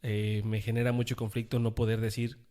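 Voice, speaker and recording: A man's voice: on the low side, completely flat delivery, brisk, mellow. A man with a Mexican Spanish accent, co-hosting a casual podcast chat among friends, joking and laughing often. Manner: jokey, laughs often, uses many fillers. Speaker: podcast co-host.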